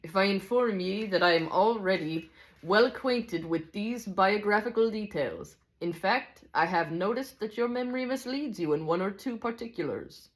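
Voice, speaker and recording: A woman voicing a wise old Scottish teacher, patient and deliberate, with an attempted Scottish accent and old-fashioned phrasing. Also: medium pitch, animated, pitched above the performer's own voice, lightly acted, low-quality recording. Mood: gently firm.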